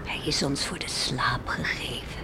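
An actress playing an anxious, tender and pious farmer's wife and mother in a radio play, musing in hushed, emotive tones. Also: extremely low pitch, a little slow, in a whisper. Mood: hushed, troubled. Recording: old radio play with faint noise.

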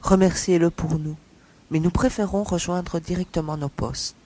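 Man as a high-voiced young woman mercenary. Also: fairly even intonation, moderate pace, mellow, a little hiss or hum, lightly acted. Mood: firm, reassuring. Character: young woman mercenary, brisk, tough, commanding. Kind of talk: audio drama science fiction acting.

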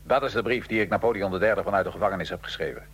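A man playing a condemned Italian revolutionary in a radio drama, recounting his life in a measured, dry, ironic way. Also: sits low, fairly bright tone, a little hiss or hum. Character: Italian male revolutionary prisoner, condemned, dryly matter-of-fact. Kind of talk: radio drama acting, interview-style storytelling.

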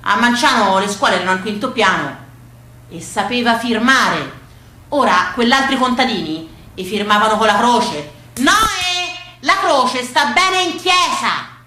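A woman's voice: high voice, pushed and bright. A woman with a Tuscan accent performing a theatrical monologue as a storyteller, folksy, emphatic and rhythmic. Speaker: stage actress and storyteller. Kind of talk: theatrical monologue of rustic family memories. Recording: echoey, roomy sound.

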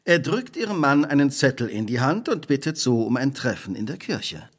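Sharp self-assured detective guy, lively swooping intonation, precise and imperious, radio drama. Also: mid-range voice, moderate pace, husky. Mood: incisive, deducing. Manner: precise, probing, imperious deduction. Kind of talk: dramatic radio play dialogue.

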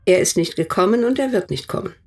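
A woman's voice: mid-range voice, animated, quick pace. An actress playing a stern older woman, a mother of three grown sons, who speaks in a guarded, cool and matter-of-fact way in a radio drama.

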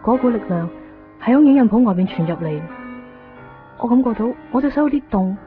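An actress playing a soft-spoken, troubled young woman in a radio drama, introspective and hesitant, with a Hong Kong Cantonese accent. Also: fairly high pitch, lively intonation, fairly quick, faint music behind, lightly acted. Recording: muffled 1990s radio drama recording.

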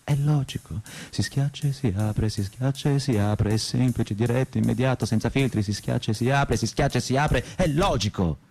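A man's voice: mid-range voice, normal speed, creaky, hardly any pauses, a little mellow, breathy edge, soft background music. An actor playing a betrayed, obsessively logical man in a radio theatre monologue, with insistent, repetitive phrasing. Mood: obsessive, methodical.

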